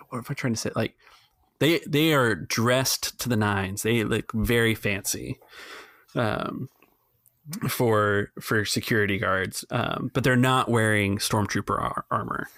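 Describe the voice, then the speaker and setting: A man narrating an improvised tabletop game as game master, halting and searching for words as he goes. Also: on the low side, creaky, a bit bright, no room sound, studio quality.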